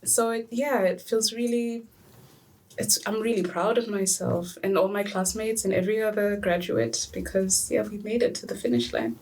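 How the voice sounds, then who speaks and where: A woman's voice: mid-range voice, normal speed, darkish tone, a little hiss or hum. A young woman from Zambia who just finished her master's, chatting happily as a podcast guest with frequent laughs. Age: young adult. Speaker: graduating student guest. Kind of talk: casual podcast interview.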